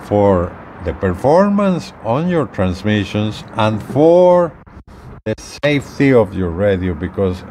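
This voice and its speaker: A middle-aged man giving an unscripted how-to demonstration in halting, short phrases with repeats, recorded outdoors on a porch. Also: on the low side, sing-song, dark tone, slight background noise. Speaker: gadget reviewer demonstrating equipment.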